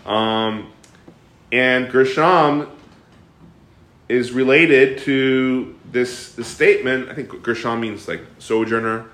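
Male Bible study leader, mid pitch, animated and questioning, echoey room. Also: slow. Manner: animated, asks the class questions, frequent fillers and restarts. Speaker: bible teacher. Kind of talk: interactive bible study teaching.

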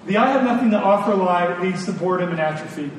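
A man's voice: high voice, animated, fast talker. A man preaching a conversational sermon, earnest and informal, leaning on repeated phrases for emphasis. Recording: very echoey room, distant mic, clear noise.

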